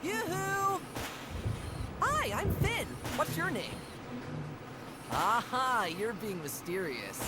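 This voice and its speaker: Plucky young animal game hero: curious, expressive, wry, animated intonation, sitting over prominent music. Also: high-pitched, slow pace, long pauses, full character voice. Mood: cheeky, friendly. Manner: expressive, dramatic, wry exclamations. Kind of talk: cartoon adventure game voice acting, observational monologue. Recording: loud music under the voice.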